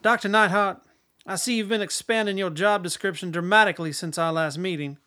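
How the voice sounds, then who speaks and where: Stern older defense secretary in a radio play, highish voice, very formal and condescending. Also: male voice, fairly even intonation, fairly quick, pushed and bright. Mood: stern, contemptuous. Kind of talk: radio drama acting.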